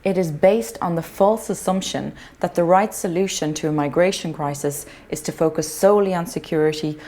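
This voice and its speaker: A woman's voice: lowish voice, a little fast, mellow. A woman rights advocate speaking in a firm, articulate way.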